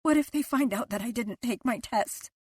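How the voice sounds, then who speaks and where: A woman narrator voicing a frightened, guilt-ridden wife in an audiobook, tearful and pleading, her voice climbing toward shouts. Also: fairly high pitch, moderate pace, breathy edge, no room sound, voice pushed up. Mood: panicked. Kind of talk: audiobook character voice.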